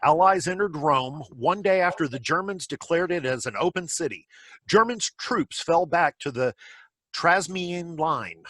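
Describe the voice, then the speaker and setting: A husky, solemn 1940s war news announcer voiced by a man in a play reading. The voice is a little high and reads out facts, very dry over a video call. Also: unhurried, sharp tone, no echo. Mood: solemn, factual. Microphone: computer mic on a video call.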